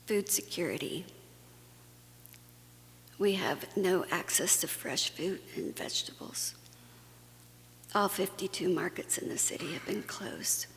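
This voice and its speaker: A woman's voice: on the low side, unhurried, pauses often, a bit bright. A woman from Guatemala giving an earnest, conversational conference talk, with fillers and restarts. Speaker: conference speaker. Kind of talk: plenary talk to a faith audience. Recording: long hall reverb, faint hum.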